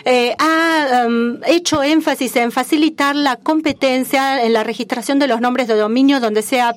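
A woman giving live simultaneous interpretation at a formal conference, measured and formal with frequent hesitations. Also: high voice, fairly even intonation.

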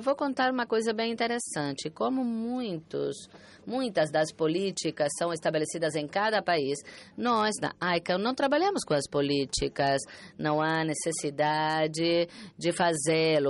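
A woman working as a conference interpreter, interpreting a talk with an uneven pace that rushes and then slows, pausing and restarting often. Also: lowish voice. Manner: uneven pace, alternately rushing and slowing.

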